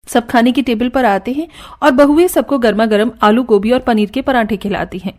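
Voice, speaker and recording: A woman giving scripted narration for a cartoon story in an even, descriptive way. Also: fairly high pitch, brisk.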